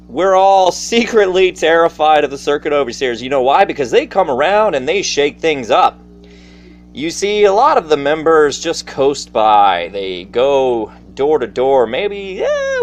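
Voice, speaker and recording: Animated male storyteller with a theatrical, folksy delivery. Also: fairly high pitch. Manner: theatrical, folksy, addressing listeners directly. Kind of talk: casual personal storytelling monologue to an audience. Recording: faint background noise.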